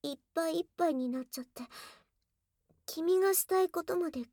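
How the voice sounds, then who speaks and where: A woman's voice: very high-pitched, fairly quick, dark tone, no room sound. A voice actress playing a young tsundere girlfriend, bashful yet teasingly bold, in an intimate ASMR audio drama on a close binaural mic. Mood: shy, hesitant. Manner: flustered, stammering, breathy asides dropping into whispers. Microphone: close binaural microphone, dry studio.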